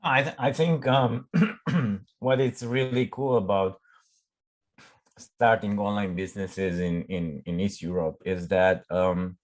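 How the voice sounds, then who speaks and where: A middle-aged man from Romania with a Romanian accent, a startup CEO chatting in an interview, often pausing and restarting as he searches for words. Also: on the low side. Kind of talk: casual conversational interview.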